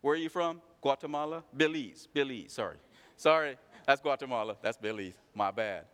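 A man's voice: mid-range voice, animated, moderate pace, fairly bright tone, long reverb tail. A man preaching a sermon, calling out his congregation with rhetorical questions. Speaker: pastor.

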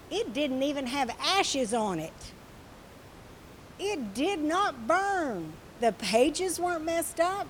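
A woman with a raspy, slightly high voice tells a Bible story to children. She asks them questions and reads with lively expression. Her voice stays warm-toned and easy to follow. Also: slight background noise, poor audio. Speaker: children's church teacher.

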